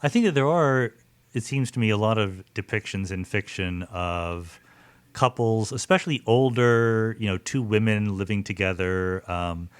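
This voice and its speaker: A man's voice: lowish voice, normal speed, clear, resonant tone. A man hosting a conversational interview, thinking aloud and rephrasing his questions as he goes. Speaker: podcast host, interviewer.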